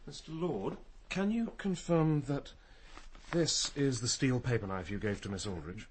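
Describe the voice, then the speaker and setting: An actor playing a courteous, authoritative senior police detective speaking deliberately in a radio crime drama. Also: male voice, medium pitch, a little hiss or hum, natural voice.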